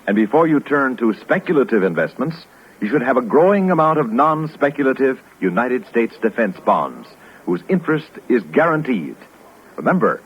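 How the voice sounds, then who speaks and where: A man's voice: medium pitch, slightly rough. A man reading a scripted public-service announcement in a formal, emphatic 1950s radio announcer style. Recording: muffled old radio recording.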